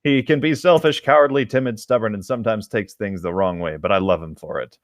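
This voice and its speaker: A man's voice: fairly low pitch, fairly quick, dry recording, studio quality. A man co-hosting, reading a piece of amateur fiction aloud in an informal way. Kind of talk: informal read-aloud of a story.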